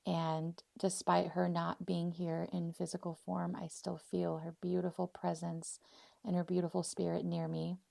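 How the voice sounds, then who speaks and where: American woman around 39 chatting on her own podcast, lowish voice, tons of fry, casual and effusive. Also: completely flat delivery, a little slow, a little mellow, no echo. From Arizona, US; born in Poland. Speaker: podcast host. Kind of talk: unscripted solo podcast, casual and personal.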